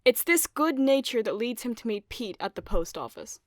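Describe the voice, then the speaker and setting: A woman's voice: on the high side, big pitch swings, moderate pace, no room sound, clean studio sound. A woman reading scripted narration as co-host of a video essay.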